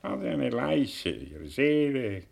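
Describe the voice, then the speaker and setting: An actor playing a melancholy, sardonic exiled professor in a German radio drama, musing and declaiming with long pauses. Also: male voice, mid-range voice, huge pitch swings, vocal fry, a little mellow, exaggerated voice. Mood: bitter ironic.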